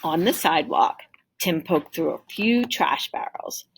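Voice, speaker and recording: A woman teacher reading a children's story aloud in an expressive storytelling style. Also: low-pitched, unhurried, a little hiss or hum, poor audio.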